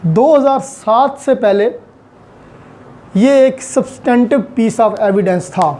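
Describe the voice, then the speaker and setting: A man's voice: very high-pitched, extremely slow, dark tone, a little hiss or hum. A man giving an online law lecture, patiently explaining step by step with examples and English legal terms. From Pakistan.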